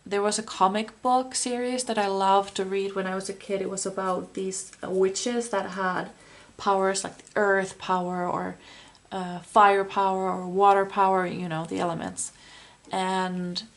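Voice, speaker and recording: A woman's voice: medium pitch, flat delivery, measured pace. A woman losing her voice, giving a chatty, meandering pick-a-card tarot reading straight to the viewer. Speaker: tarot reader.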